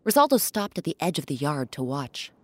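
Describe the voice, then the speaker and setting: Woman narrator, children's storytelling, low-pitched, very lively intonation, dramatic pauses, music behind. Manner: expressive, dramatic pauses, addresses young listeners. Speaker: host and narrator.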